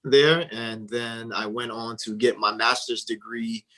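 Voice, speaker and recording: A man in his thirties, from Liberia, speaks as a guest on a radio interview. He is earnest and measured, slipping in light humor. His voice sits at a middling pitch. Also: steady tone, fairly bright tone.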